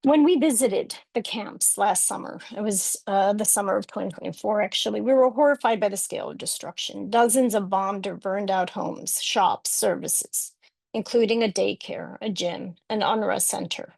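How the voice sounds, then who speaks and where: Gravelly woman giving a talk. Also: medium pitch, a little mellow, no echo. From Chicago, United States. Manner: measured, reflective, frequent "um" fillers and restarts. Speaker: academic panelist. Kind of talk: webinar presentation.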